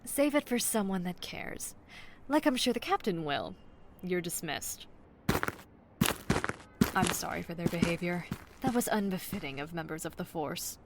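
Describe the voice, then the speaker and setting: A woman's voice: on the low side, animated, unhurried, pauses often, background noise. A woman playing a no-nonsense police detective in an audio drama, clipped, authoritative and sardonic. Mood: scornful, stern. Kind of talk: naturalistic audio drama acting.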